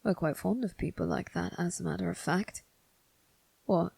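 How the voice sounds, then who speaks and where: A woman's voice: lowish voice, moderate pace, gravelly, a little mellow, breathy edge, no room sound, exaggerated voice. A woman voicing a sly, teasing demon servant with slangy, informal speech in an ASMR roleplay. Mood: teasing, probing.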